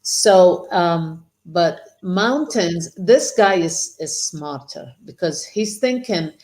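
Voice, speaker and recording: A woman co-hosting a casual talk, reading translations aloud slowly and chatting with quick agreement. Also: on the low side, lively intonation, a little mellow. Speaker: co-host.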